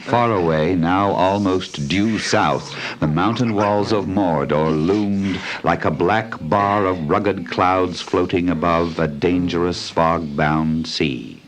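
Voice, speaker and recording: A man narrating a scripted fantasy drama in a measured, solemn manner. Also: deep voice, crowd noise.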